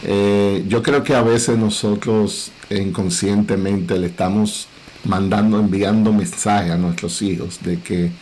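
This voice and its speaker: A middle-aged man with a Dominican Cibao accent, a pastor speaking earnestly and in a measured way on a parenting panel. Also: sits low, a little mellow, slight background noise, low-quality recording. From Dominican Republic.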